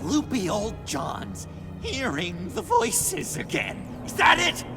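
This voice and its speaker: An actor playing an unstable, nervous young man in a video game, stammering and halting, paranoid and quick to swing into outbursts. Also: very high voice, wildly swooping pitch, vocal fry, music in the background, exaggerated voice. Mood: bitter, accusing. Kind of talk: dramatic video game acting.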